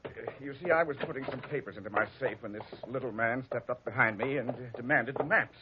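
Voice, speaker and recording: A man's voice: medium pitch, quick pace, noisy background, lightly acted. An actor playing a flustered, fussy old male lawyer who stammers and dithers, in 1940s radio acting on a dull lo-fi old radio recording. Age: older. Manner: stammering, dithering, chuckles to himself.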